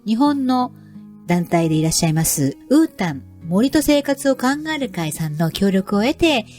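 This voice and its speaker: A woman's voice: mid-range voice, very animated, quick pace, darkish tone, soft background music. A woman hosting a radio program in a polite, presenterly manner, introducing it as navigator. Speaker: program navigator and environmental education instructor. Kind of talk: scripted radio show introduction.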